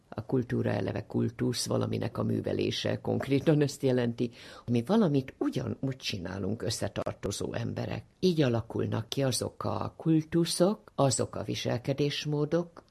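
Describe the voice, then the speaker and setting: An older woman with a heavily gravelled, husky voice explains things as an academic expert. She is didactic and emphatic, repeating phrases for weight. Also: very deep voice, a little mellow. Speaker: psychologist, university professor. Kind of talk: expert explanation in a radio interview.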